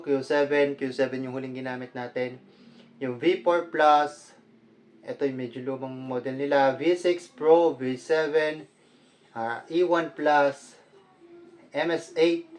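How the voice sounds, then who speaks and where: A man with a Filipino accent, a vlogger talking casually through a product unboxing in a conversational way, mixing Tagalog with English. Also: medium pitch.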